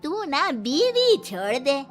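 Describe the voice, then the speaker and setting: A woman playing a sour, scheming old grandmother in a moral story, taunting and complaining in drawn-out phrases. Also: high-pitched, sing-song, heavily acted. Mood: sulky, goading. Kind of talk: Hindi moral story dramatization. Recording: music behind the voice.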